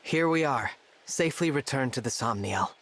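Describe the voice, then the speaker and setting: An earnest young dragon-born game hero. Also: male voice, medium pitch, lively intonation, normal speed, a bit bright. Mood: thoughtful, sincere. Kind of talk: scripted video game voice acting.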